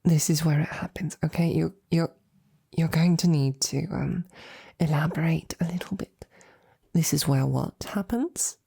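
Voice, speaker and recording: A woman playing a devoted, courteous female knight in an intimate romantic audio roleplay, speaking softly and breathily with gentle, halting, formal phrasing. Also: low voice, exaggerated voice. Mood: nervous, probing. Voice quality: breathy, soft. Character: devoted female royal knight, tender, courteous, easily flustered.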